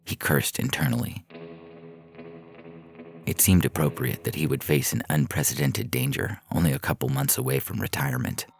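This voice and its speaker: A man's voice: very deep voice, normal speed, vocal fry, faint music behind. A man reading a science fiction story as narrator in a scripted audio fiction style.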